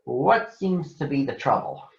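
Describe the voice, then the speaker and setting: A man's voice: medium pitch, big pitch swings, measured pace, gravelly. A man voicing a self-important detective in a storybook, with a pompous, formal manner, on a lo-fi recording.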